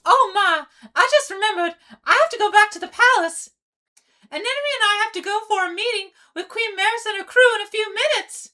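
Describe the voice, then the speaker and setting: A woman's voice: very high-pitched, fairly quick, bright, forceful tone. A woman voicing a bubbly, courteous young female sea pony in an amateur cartoon comic dub, with an eager, girlish delivery. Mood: eager, hurried.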